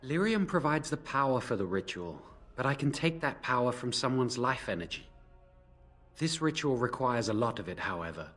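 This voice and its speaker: An actor playing a guilt-ridden young mage in a video game, explaining things gravely and with reluctance. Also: male voice, medium pitch, sing-song, normal speed, a bit bright, soft background music. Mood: grave, reluctant. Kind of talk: dramatic video game acting.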